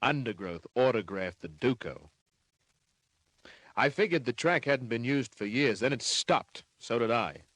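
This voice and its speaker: An actor playing a wry, hard-boiled private detective in an old-time radio drama, laconic, slangy and cynical. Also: male voice, mid-range voice, expressive, unhurried, fairly bright tone, no echo. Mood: wry narrating.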